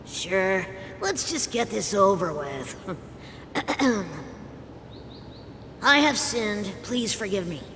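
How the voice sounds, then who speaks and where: A woman's voice: sing-song, drawn-out words, pauses often, a bit bright, heavily acted. A woman voicing a flippant, breezy young woman in a video game, irreverent and teasing. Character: cheeky, flippant young woman mocking a solemn confession. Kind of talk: playful game voice acting. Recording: long hall reverb, faint rumbling noise.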